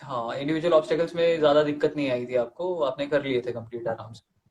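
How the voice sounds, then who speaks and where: A man's voice: fairly high pitch, fast, a little mellow, lo-fi sound. A young man interviewing a guest in casual chat, often agreeing and laughing along.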